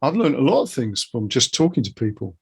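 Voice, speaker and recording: A man's voice: medium pitch, very animated, a little fast, creaky, husky. A middle-aged man hosting a casual chat, musing informally and circling round his ideas. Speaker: interviewer, guitar teacher.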